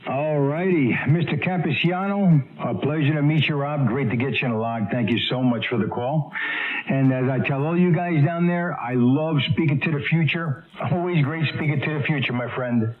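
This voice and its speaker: A man's voice: a little high, moderate pace. An American man heard over a radio transmission, chatting casually and effusively as a ham operator, repeating phrases for emphasis. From United States. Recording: narrow, tinny shortwave radio transmission.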